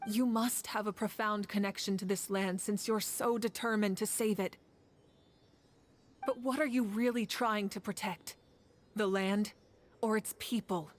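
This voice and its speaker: A woman's voice: mid-range voice, steady tone, unhurried, close to an everyday voice. A woman voicing a composed, principled and confident leader in a video game.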